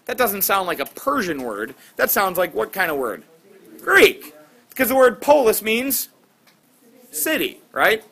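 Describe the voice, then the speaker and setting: A middle-aged man teaching a class, lively and quizzing students with quick questions. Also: sits high, vocal fry, husky.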